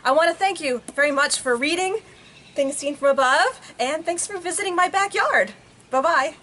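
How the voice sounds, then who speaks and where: A woman's voice: high-pitched, moderate pace, pushed and bright. A woman author giving an informal talk about her children's book, recorded outdoors in a backyard. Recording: faint outdoor background noise.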